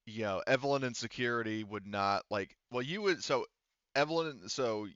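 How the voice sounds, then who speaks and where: A man running a tabletop roleplaying game as game master, explaining things casually in a halting way. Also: medium pitch, fairly bright tone, smooth, dry recording, dull audio.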